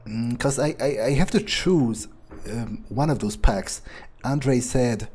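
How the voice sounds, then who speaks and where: A man acts out a scornful male vampire in a game roleplay, thinking aloud in a dark, mellow voice with a husky edge. He is sardonic and profane, muttering and halting as he goes. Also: medium pitch. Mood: brooding, dismissive. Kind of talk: improvised in-character game roleplay.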